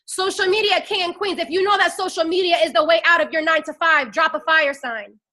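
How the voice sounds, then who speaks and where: A young woman in her twenties with a very high, bright voice preaches a fiery motivational pep talk. She talks into a phone and keeps rallying her listeners with call-and-response. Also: steady tone, a little fast. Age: 20s. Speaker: network marketing team leader.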